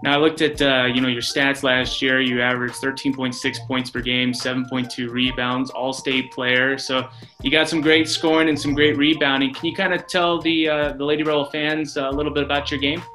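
An American man hosts a casual sports interview with a bright, crisp-edged tone. His pitch sits in the middle for a man. He is on a video call, and music plays underneath. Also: little pitch movement, a little fast. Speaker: sports radio announcer interviewing a player. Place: small office, indoors, on video call.

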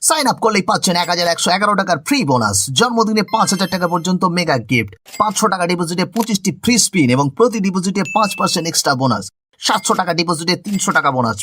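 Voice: medium pitch, a bit bright, a little hiss or hum. An announcer reading a scripted app advertisement in an upbeat promotional style.

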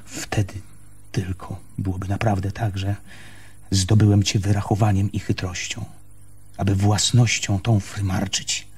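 An actor playing a stubborn, defensive man in a radio play, arguing haltingly and insistently with his lover in a husky voice. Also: low-pitched, level tone, darkish tone, exaggerated voice. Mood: measured, persuading. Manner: halting, insistent, repeats phrases. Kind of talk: Polish radio play acting.

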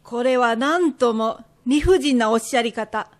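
A woman plays a proud Edo shopkeeper's wife in a radio drama. She has a high voice and a firm, matronly, old-fashioned way of speaking, stern to the core. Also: unhurried, close to an everyday voice. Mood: indignant, formal. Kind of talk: period radio drama acting.

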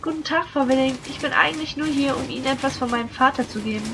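A woman playing an anxious young lady in an audio drama, expressive and exclamatory. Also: high voice, fast talker, music in the background, low-quality recording. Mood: polite. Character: young wealthy woman, anxious would-be victim. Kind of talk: German audio drama acting.

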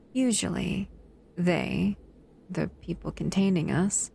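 Female humanoid monster, played by a woman for audio roleplay: bored, teasing predator, unhurried delivery, somewhat low pitch. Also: gravelly, a little mellow. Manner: languid, teasing, menacing. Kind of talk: slow audio roleplay to the listener.

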